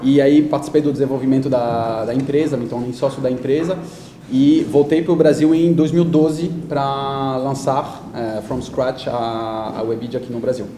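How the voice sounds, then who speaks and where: Mellow, dark-voiced Frenchman in echoey room. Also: mid-range voice, fairly even intonation, normal speed. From France. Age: 30s. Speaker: guest speaker, digital media company executive. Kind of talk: conversational talk in an interview. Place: indoor event talk before an audience. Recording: echoey room with faint background noise.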